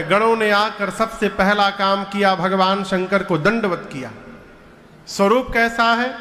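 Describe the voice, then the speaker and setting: A man's voice: high voice, pushed and bright, long reverb tail. A man telling a devotional story as a sermon, joking and drawing responses from his listeners. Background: devotional music with instruments.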